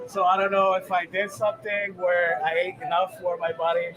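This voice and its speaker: A middle-aged man from Samoa, raised in Hawaii, talks through his cooking in a high, easygoing voice. He chats casually and laughs often. Music plays clearly in the background. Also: a little fast, poor audio. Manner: relaxed, chatty, laughs easily. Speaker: chef. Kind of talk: casual live cooking demo. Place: outdoor boat show.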